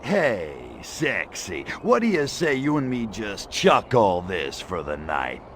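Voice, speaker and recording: A man playing a cocky, lecherous little alien invader in a video game, with a gravelly voice and brash, slangy wisecracks. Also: on the low side, very animated, slow pace, fairly bright tone, a little hiss or hum, full character voice. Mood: sleazy flirting. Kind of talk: video game cutscene voice acting.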